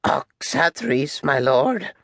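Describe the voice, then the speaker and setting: A man's voice: fairly high pitch, sing-song, very slow, vocal fry, fairly bright tone, no room sound, studio quality, voice pushed up, heavily acted. A man voicing a servile, resentful elephant captain pleading with his superior, in an audiobook style. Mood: cowed. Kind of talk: audiobook character acting.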